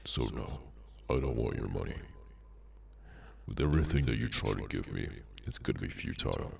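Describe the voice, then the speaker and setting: Gravelly, abyss-deep cursed henchman. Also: expressive, distant mic, exaggerated voice. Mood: cold, dismissive. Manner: unhurried, dry, menacing. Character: cursed male henchman creature, menacing, bored and sardonic. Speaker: ASMR roleplay voice actor. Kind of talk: ASMR audio roleplay, slow dramatic monologue.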